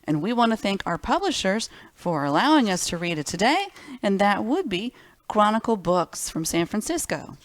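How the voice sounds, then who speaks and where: A woman's voice: medium pitch, big pitch swings, moderate pace, dry recording. A woman hosting a children's library storytime, reading picture books expressively and speaking simply and directly to young listeners.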